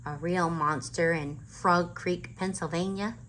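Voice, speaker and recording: A woman's voice: lowish voice, steady tone, measured pace, fairly bright tone. A woman voicing a sensible, skeptical eight-year-old boy, a reluctant big brother, in a children's storybook read-aloud. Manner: dry, reluctant.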